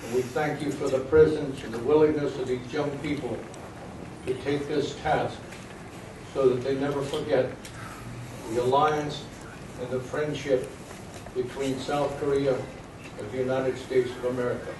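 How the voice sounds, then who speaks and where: A man leading an opening prayer at a ceremony in a solemn, measured way. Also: mid-range voice, flat delivery, noisy background. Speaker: prayer leader. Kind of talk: invocation prayer. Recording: echoey hall recorded from far off.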